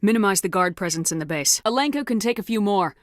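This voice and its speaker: A woman's voice: mid-range voice, lively intonation, fast, dry recording, studio quality, natural voice. A woman playing a determined, commanding military officer in a video game, speaking in a firm, clipped, authoritative way. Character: commanding female military officer, steely, determined space soldier. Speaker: voice actor. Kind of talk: video game dialogue acting.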